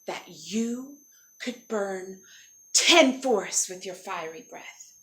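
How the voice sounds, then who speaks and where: A woman's voice: medium pitch, expressive, slow pace, fairly bright tone, poor audio. A woman storyteller voicing a bold, clever young princess with an emphatic, coaxing manner. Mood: coaxing, flattering. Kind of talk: animated oral storytelling.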